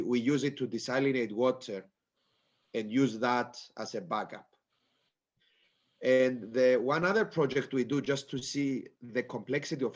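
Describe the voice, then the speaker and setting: Stuffy-nosed man on a dull video call. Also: mid-range voice. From Cyprus. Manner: halting, many fillers and restarts, plain explaining. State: stuffy nose. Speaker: panel speaker. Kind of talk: conference panel presentation.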